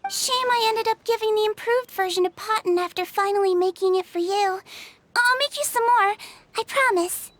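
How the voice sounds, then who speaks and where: An actress voicing a small, gentle female creature who works as a nurse, speaking in a soft, patient, matter-of-fact way. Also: very high voice, normal speed, slight background noise. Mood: caring. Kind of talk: video game voice acting.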